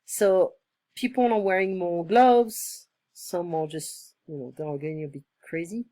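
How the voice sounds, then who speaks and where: A woman tutoring one-on-one, her voice slightly hoarse from illness, explaining patiently and repeating phrases. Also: fairly low pitch, unhurried, warm tone, no echo. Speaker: French tutor. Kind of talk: one-on-one online language lesson.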